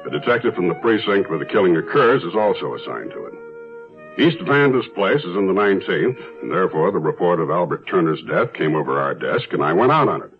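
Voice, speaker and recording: An actor playing a matter-of-fact, methodical New York detective in a 1970s radio drama. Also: male voice, sits low, very animated, fairly quick, faint music behind. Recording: lo-fi 1970s radio recording, narrow band.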